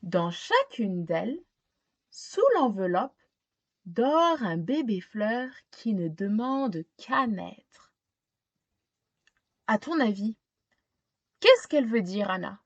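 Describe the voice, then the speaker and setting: Expressive woman reading slowly, lively swooping pitch. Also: dry recording, lo-fi sound. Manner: expressive, pausing to ask simple questions. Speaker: storytime reader. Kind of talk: children's picture book read-aloud with questions to listeners.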